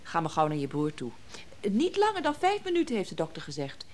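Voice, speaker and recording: An actress playing a bitter, money-minded, scolding sister-in-law in a radio drama, sharp-tongued and accusing. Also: female voice, fairly low pitch, wildly swooping pitch, a little fast. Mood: stern, bitter. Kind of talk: naturalistic Dutch radio drama acting. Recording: noisy old radio drama recording.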